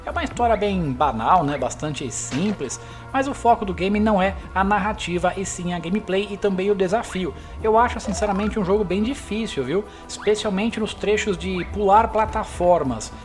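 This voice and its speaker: A man's voice: sits high, moderate pace, few pauses. A man with a Brazilian accent giving casual commentary as the host of a gameplay video. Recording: game music behind the voice. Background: video game music.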